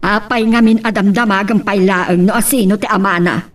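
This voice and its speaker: A woman's voice: medium pitch, steady tone, normal speed, recorded from a distance, natural voice. A woman with a Filipino accent playing a bossy, protective mother of a grown son in an audio drama. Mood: scolding, protective.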